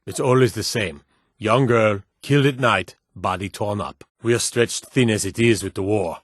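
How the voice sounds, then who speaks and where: Man playing fantasy game city guard, low slightly husky voice, weary, gruff, serious, measured delivery. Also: lightly acted. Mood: grim, weary. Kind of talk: video game character dialogue.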